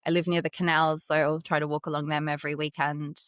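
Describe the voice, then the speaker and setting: A woman's voice: sits low, little pitch movement, fast talker, a bit bright, dry recording, clean studio sound. A woman with an Australian accent, answering interview questions about her career in an articulate, upbeat way. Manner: articulate, upbeat, laughs lightly while telling stories. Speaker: fintech executive guest.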